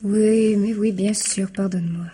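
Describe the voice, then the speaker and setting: Actress as a mellow-voiced, concerned sister. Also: female voice, mid-range voice, steady tone, unhurried, slight background noise, natural voice. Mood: placating. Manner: solicitous, conciliatory. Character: gentle, concerned, placating sister of factory owner. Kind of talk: French radio mystery drama acting.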